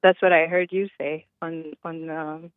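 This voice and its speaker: A woman's voice: sits low, flat delivery, a little slow, no echo. A woman from Uganda calls in to a radio show and explains things patiently and earnestly, with light laughs. Her voice comes through a thin, tinny phone line. The sound is dry. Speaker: caller.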